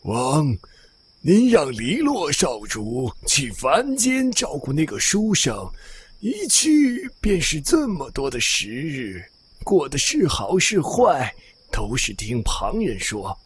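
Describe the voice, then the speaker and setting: A man playing an earnest, husky retainer respectfully advising his king in a Chinese audio drama, formal and careful. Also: on the high side, huge pitch swings, takes it slowly, full character voice. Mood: respectful, urging.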